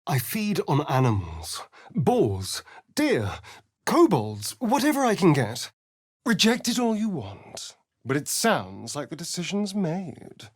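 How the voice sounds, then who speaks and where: An actor voicing a sly, vain vampire rogue for a video game, with an English accent and an arch, theatrical, drawling delivery. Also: male voice, a little high, huge pitch swings, slow, slightly rough, heavily acted. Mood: dramatic.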